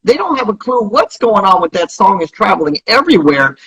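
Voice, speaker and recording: A man with a husky voice speaking as an interview guest, explaining his company in an earnest, emphatic way and repeating key points. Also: sits high, very animated, a little fast, sharp tone, rough recording. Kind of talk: promotional interview talk.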